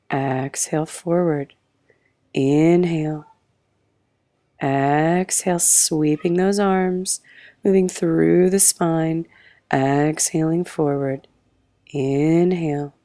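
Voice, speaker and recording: Hoarse gravelly Australian yoga teacher. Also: female voice, sits low, no room sound, clean studio sound. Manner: calm, breath-paced cueing, trails into soft whispers. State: hoarse, croaky voice. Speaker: yoga instructor. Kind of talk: guided yoga class instruction.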